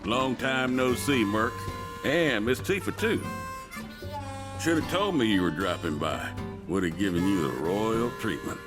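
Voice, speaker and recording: A confident ranch boss talks in a colloquial Southern drawl. The voice is low but very animated, with music playing behind, in game cutscene style. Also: male voice, unhurried. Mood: jovial.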